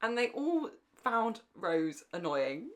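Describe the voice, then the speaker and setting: A woman's voice: fairly high pitch, sing-song, takes it slowly. A young woman co-hosting a podcast, chatting casually in an enthusiastic, talkative way. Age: young adult. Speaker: podcast co-host. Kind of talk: casual fan podcast chat.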